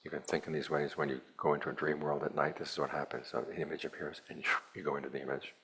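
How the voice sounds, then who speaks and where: A man with an extremely low, gravelly voice gives a dharma talk as a Buddhist monk teacher. His tone is very husky and breathy. He speaks plainly and at a measured pace, with a dry, wry edge. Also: little pitch movement, a bit bright. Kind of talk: dharma talk to a retreat group.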